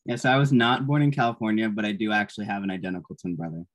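A man's voice: lowish voice, fairly even intonation, very fast, fairly bright tone. A man casually introducing himself and answering questions on a group video call.

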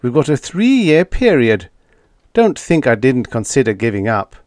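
A man voicing a gaunt, tired starship captain giving a brisk, plain account. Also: mid-range voice, sing-song, a little mellow, no echo, clean studio sound, pitched above the performer's own voice. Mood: tense. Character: gaunt, weary starship captain, steady and determined.

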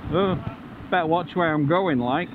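Cheeky male motovlogger, loud bike noise. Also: fairly high pitch, unhurried. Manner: cheeky, jokey, sweary banter. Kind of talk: casual chat while riding. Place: outdoors on a motorcycle ride. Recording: muffled helmet mic, loud vehicle noise. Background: motorcycle engine and road noise.

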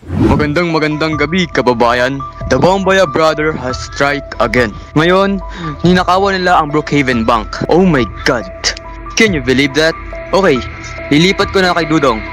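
A dramatic newscaster acted out by a man in a game roleplay, with a slightly high voice. He announces in mock-serious style over clearly audible music. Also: lively intonation, normal speed, natural voice. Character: dramatic male TV news anchor.

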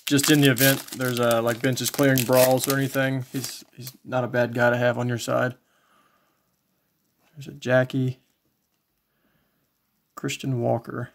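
A man casually commentating as he shows collectibles, in a low-key, folksy way, thinking aloud. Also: level tone, a little slow, vocal fry, pauses often, slight background noise. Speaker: trading card collector and video host. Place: indoors, small home tabletop setup.

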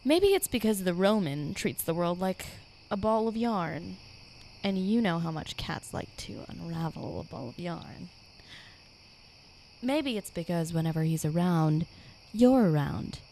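An actress playing a sly, teasing cat burglar in a radio noir, coy and taunting. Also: female voice, fairly low pitch, very animated, measured pace, mellow, a little hiss or hum, low-quality recording.

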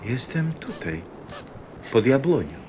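A man's voice: mid-range voice, sing-song, takes it slowly, darkish tone, slight background noise. A man playing a wary, untamed fox in a children's radio play.

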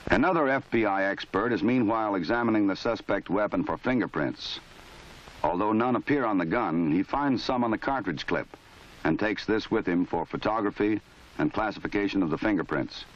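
A low-voiced American man narrates in a formal, measured style. He sounds authoritative and matter-of-fact, reading a script for an instructional film. The sound is dull and lo-fi, like a 1970s film soundtrack.

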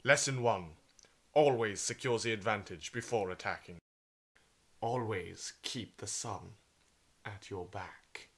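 A man's voice: lowish voice, slow, pauses often, fairly bright tone, a little hiss or hum. A man narrating as a theatrical, stern WWI flight instructor, pompous and declamatory, with old-fashioned wording in the style of a vintage military training film.

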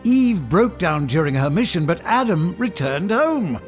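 An actor playing an anguished, excitable professor and protective father in an audio drama, with a halting, emphatic delivery. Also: high voice, faint music behind, heavily acted. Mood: excited realisation. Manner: halting, repeats himself, emphatic.

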